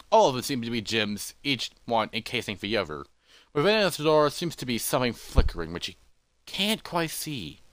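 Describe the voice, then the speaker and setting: A man narrating a fantasy adventure script in a halting, loosely read way, with dramatic pauses. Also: medium pitch, a bit bright, smooth, dry recording.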